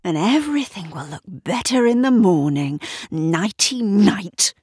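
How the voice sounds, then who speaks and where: A woman's voice: on the low side, takes it slowly, dark tone, slightly rough, no echo, clean studio sound, exaggerated voice. A woman playing a desperate, self-loathing drinker who berates herself, bitter and scornful, in a dramatised story. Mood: sneering sarcasm. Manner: bitter, scornful, swinging into anguished wailing.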